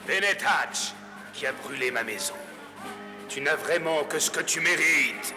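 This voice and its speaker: A man voicing a vengeful, gloating rebel fighter in a French animated dub, taunting and rough-spoken. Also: fairly high pitch, unhurried, music in the background.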